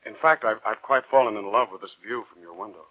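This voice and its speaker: An actor playing an earnest, romantic young student, courteous and measured, speaking and narrating in 1940s radio style. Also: male voice, lowish voice, natural voice. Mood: polite, earnest. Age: young adult. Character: earnest, romantic young male medical student, smitten and uneasy. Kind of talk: 1940s radio acting, dramatic first-person narration. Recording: muffled 1940s radio recording with music.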